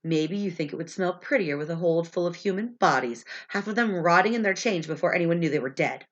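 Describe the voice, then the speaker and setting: A woman's voice: lowish voice, brisk, natural voice. A woman voicing a proud, scornful young male sailor in a storybook, taunting and indignant, with a stuffy voice. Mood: scornful indignation. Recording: dull, lo-fi sound.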